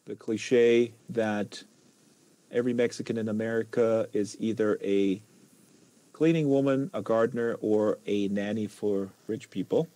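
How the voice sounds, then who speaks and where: Male stream host, soft mellow tone, dry close sound, rambling and conversational delivery. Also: medium pitch, dry recording, rough recording. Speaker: host of a live book-reading stream. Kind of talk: casual livestream chat.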